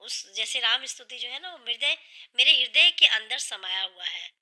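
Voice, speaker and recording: A woman with a sore, hoarse throat, earnestly explaining a devotional hymn line by line and patiently restating each meaning. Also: fairly high pitch, animated, normal speed, bright, forceful tone. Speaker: devotional singer and channel host.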